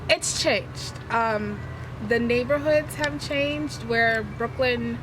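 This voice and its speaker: A woman in her thirties, originally from Guyana, a high school teacher answering street interview questions in a relaxed, frank, conversational way. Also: slow pace. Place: outdoors on a city street. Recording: loud music and traffic behind.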